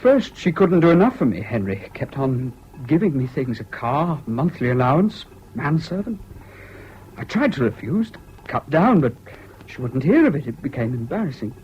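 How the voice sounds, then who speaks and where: An actor in a 1970s British radio drama playing a troubled, self-pitying jilted husband, halting and plaintive, on an old recording. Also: male voice, mid-range voice, very animated, darkish tone, close to an everyday voice. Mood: hurt, bewildered. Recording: dull, faintly noisy old radio recording.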